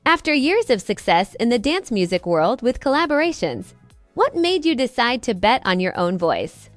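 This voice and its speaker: Lively higher-voiced woman interviewer. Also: normal speed, dry recording, faint music behind. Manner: formal, reads questions evenly. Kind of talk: prepared interview questions.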